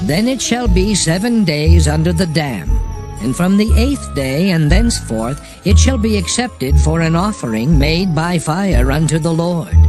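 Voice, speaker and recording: A man playing a solemn divine lawgiver, reciting sacred law formally in a dramatized scripture reading. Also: on the high side. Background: music.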